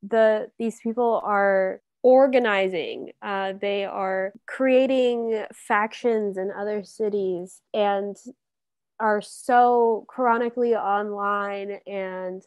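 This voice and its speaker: A woman's voice: medium pitch, steady tone, slow pace, a little mellow, smooth, dry recording, muffled recording. Exasperated woman in casual talk. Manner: exasperated, swears, laughs. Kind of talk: casual conversation.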